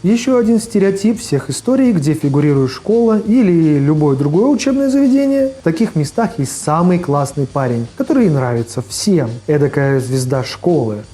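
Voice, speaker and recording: Male video host, slightly high-pitched, casual scripted review narration over soft background music. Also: lively intonation, moderate pace, hardly any pauses. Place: voice-over with faint music behind.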